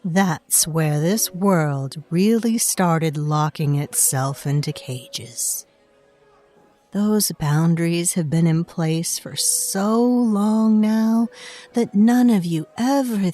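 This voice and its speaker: A woman playing an ancient, otherworldly female storyteller in a dark fantasy audio drama, knowing and faintly scornful, confiding in the listener with deliberate delivery. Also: fairly low pitch. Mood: bitter, knowing. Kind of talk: scripted dark fantasy audio fiction narration.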